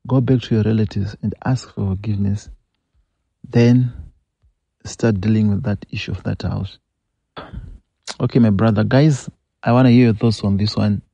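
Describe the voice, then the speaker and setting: A man's voice: on the low side, steady tone, moderate pace, vocal fry, long pauses, mellow, no echo. A man with a Zimbabwean English accent hosting a show, reading a confession aloud and then giving earnest, scolding advice with repeated phrases. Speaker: host. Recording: dull lo-fi dry recording.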